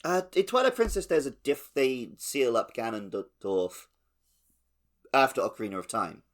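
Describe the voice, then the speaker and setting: A man's voice: mid-range voice, huge pitch swings, moderate pace. A man co-hosting a podcast, talking casually about a video game. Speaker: podcast co-host.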